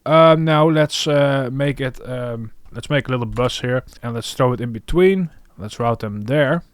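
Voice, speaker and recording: A man's voice: medium pitch, a little slow, clear, resonant tone, no room sound. A man hosting a music production tutorial, talking casually and thinking aloud as he works. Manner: thinking aloud while working. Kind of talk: casual screen-recorded tutorial commentary.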